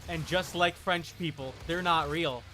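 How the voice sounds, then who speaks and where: A man giving casual, deadpan joking live commentary while playing a video game. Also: a little high, unhurried. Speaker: game streamer. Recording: clear background noise.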